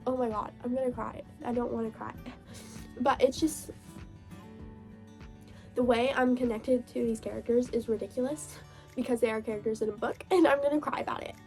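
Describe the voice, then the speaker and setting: Gushing young woman with a hoarse cold voice. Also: on the high side, darkish tone. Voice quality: gravelly. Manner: gushing, chatty, laughs often. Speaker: book vlogger. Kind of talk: casual reading wrap-up chat.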